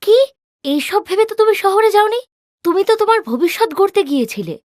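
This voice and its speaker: A woman's voice: extremely high pitch, very animated, quick pace, a little mellow, dry recording, clean studio sound, voice pushed up. A woman voicing an earnest, principled young village girl in a cartoon, politely pleading and reproachful. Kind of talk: cartoon character dialogue.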